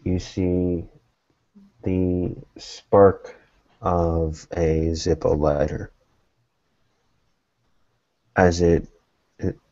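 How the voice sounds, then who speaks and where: Male game master, deep register, halting scene-setting delivery, dry and slightly dull recording. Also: dark tone. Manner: halting, phrase by phrase. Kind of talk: slow scene narration for tabletop roleplaying.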